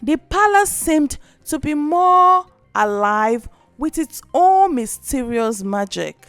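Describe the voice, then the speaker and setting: A woman narrating a scripted folktale as a storyteller, with an expressive, dramatic delivery. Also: high-pitched, dry recording. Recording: music behind.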